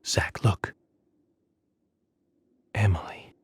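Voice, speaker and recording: An actor playing a self-assured federal agent in a video game, musing and confiding as if to an unseen companion. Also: male voice, extremely slow, in a whisper. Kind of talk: video game cutscene acting. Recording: clear background noise and ambience.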